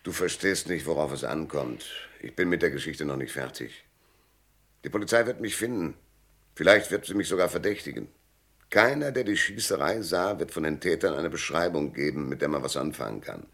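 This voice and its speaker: An actor playing a wry, laconic private detective who narrates and talks in a German noir radio drama. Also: male voice, extremely low pitch, a little fast, fairly bright tone, heavily acted. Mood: wry, insistent. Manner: laconic, sardonic. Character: hard-boiled, wry male private detective and first-person narrator.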